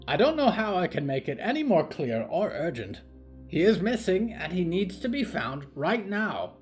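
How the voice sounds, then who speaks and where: A man's voice: high voice, sing-song, moderate pace, soft background music, pitched above the performer's own voice. A man voicing an imperious, snappish old grandmother in an audio drama, indignant and cutting in manner. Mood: urgent. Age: older. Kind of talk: audio fiction story acting.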